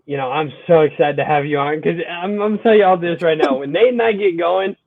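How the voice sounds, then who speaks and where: A man's voice: a little high, fast talker, no room sound. A young man hosting a college sports podcast in a casual, upbeat way. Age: young adult. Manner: upbeat, energetic intro then relaxed. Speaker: podcast host. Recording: tinny phone-like line, dry.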